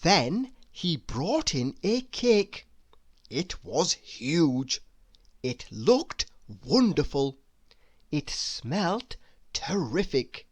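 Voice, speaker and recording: Woman storyteller, lowish pitch, very varied intonation, slow deliberate children's read-aloud, dry close sound. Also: sing-song, breathy edge, no echo. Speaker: storybook narrator.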